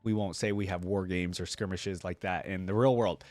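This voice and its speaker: A man's voice: low voice, steady tone, normal speed, a little mellow, dry recording, soft background music. A man giving casual commentary and reading game lore aloud, explaining things and often checking in with the listener. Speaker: reaction video host.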